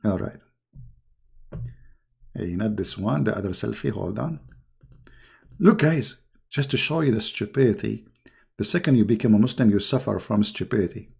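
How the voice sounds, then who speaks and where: Gravelly, hoarse man ranting in English. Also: fairly low pitch, animated, normal speed, pauses often, darkish tone. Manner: mocking and combative, taunting viewers. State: hoarse, losing his voice. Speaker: online video host. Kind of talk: heated livestream rant.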